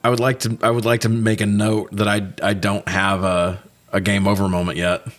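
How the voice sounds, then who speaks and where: A man co-hosting a podcast, chatting casually through a movie recap in a jokey, swear-heavy way that gets animated when he is riled. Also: on the low side, moderate pace, soft background music. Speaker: podcast co-host.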